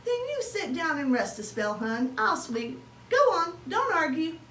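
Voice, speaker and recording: A kindly, folksy storybook father, acted out by a woman reader, expressive and easily puzzled. The voice is high and swoops widely, heard in a roomy space. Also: a little slow, exaggerated voice. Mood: caring. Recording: roomy, distant mic, faint background noise.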